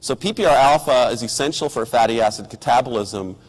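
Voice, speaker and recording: A middle-aged man, hoarse from a cold, giving a measured technical science lecture with occasional ums and restarts. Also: mid-range voice. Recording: lecture hall with long reverb.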